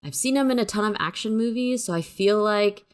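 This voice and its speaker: A woman's voice: mid-range voice, a little fast, clean tone. A woman co-hosting a casual film review chat, giving her opinions in a tentative way. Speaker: review show co-host.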